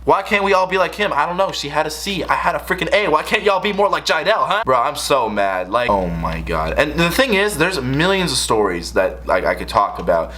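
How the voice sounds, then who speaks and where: A man's voice: fairly high pitch, a little fast, hardly any pauses. A young American man telling a casual storytime rant in an exasperated, slangy way. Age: young adult. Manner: exasperated, animated, slangy delivery. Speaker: video creator telling stories.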